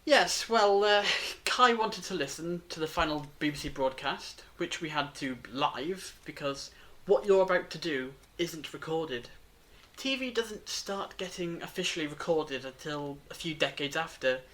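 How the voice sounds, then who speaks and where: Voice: mid-range voice, expressive, a little slow, fairly bright tone. A performer playing a clever, wordy time-travelling alien in a fan audio drama, lecturing with emphasis. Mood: explaining, cautious.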